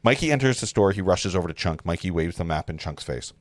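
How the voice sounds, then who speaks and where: A man's voice: low voice, animated, fast, no room sound, studio quality. A man reading stage directions at a live table read, informal and joking, sometimes stumbling over his lines. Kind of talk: live script reading with casual asides.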